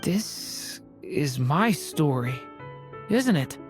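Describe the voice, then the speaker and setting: A woman voicing a gentle, earnest dragon youth in a video game. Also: deep voice, sing-song, drawn-out words, over music, exaggerated voice. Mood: wistful. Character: gentle young male dragon youth, earnest and soft-hearted. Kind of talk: video game character dialogue.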